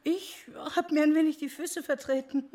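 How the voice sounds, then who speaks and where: A woman's voice: sits high, fairly even intonation, normal speed, noisy background, close to an everyday voice. An older woman playing a frail, taunting mother in a radio drama. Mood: mocking. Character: frail old mother, mocking her daughter.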